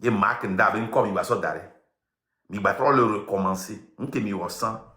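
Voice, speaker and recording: A man's voice: lowish voice, moderate pace, bright, forceful tone, roomy sound. A man in his fifties from Togo, speaking French with a Togolese accent, giving a defiant, impassioned live-stream rant. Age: 50s. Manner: mixes French with local language, defiant and emphatic. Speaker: online activist host.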